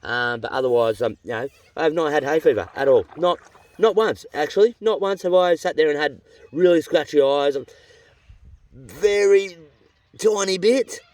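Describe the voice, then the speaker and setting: A man's voice: a little high, normal speed, a little mellow. A middle-aged Australian man on a long canoe trip, outdoors by a river, talking on in a tired, chatty, rambling way with slang and a slightly runny nose. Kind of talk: rambling casual vlog chat. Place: outdoors by a river among rocky cliffs. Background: birdsong in the bush.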